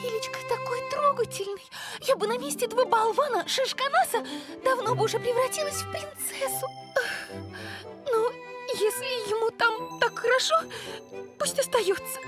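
A high-pitched, bossy cartoon girl. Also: moderate pace, music in the background. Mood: tender. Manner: emphatic, expressive, exasperated. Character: bossy, irritable young girl creature, soft-hearted underneath. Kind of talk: animated children's cartoon acting.

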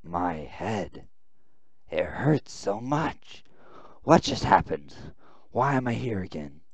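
A man voicing a weary, fearful young man who narrates his ordeal, reading visual novel text with halting, dramatic phrasing. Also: lowish voice, big pitch swings, no room sound, full character voice. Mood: dazed, confused.

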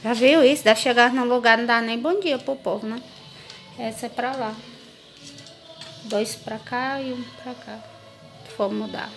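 A woman's voice: fairly high pitch, measured pace, vocal fry, pauses often, over music. A woman with a Brazilian accent chatting casually to viewers as a home vlogger, informally showing and explaining things as they go. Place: home kitchen, indoors. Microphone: handheld phone or camera, held by the speaker.

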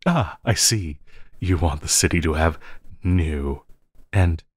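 A man's voice: low voice, expressive, breathy edge, no echo, clean studio sound, exaggerated voice. A man playing an obsessive, lovestruck villain in an intimate audio roleplay, theatrical and giggly, playfully menacing and pleading. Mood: amused, delighted. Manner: theatrical, slow drawling, frequent giggling.

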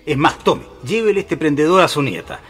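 An actor playing an anxious, devout, absent-minded shopkeeper in a Chilean radio drama. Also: male voice, a little high, lively intonation, fairly quick, a bit bright, reverberant, big-room sound, soft background music. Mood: generous, lively.

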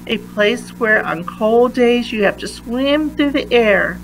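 A woman reading a children's picture book aloud in a deliberate manner, pausing between phrases. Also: a little high, expressive, a little hiss or hum, low-quality recording. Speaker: read-aloud reader.

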